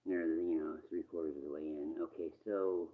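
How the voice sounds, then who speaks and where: A man's voice: very deep voice, steady tone, fairly quick. A man hosting casually and off the cuff, muttering to himself in a distracted way. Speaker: channel host replaying old radio shows. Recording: thin phone-like sound.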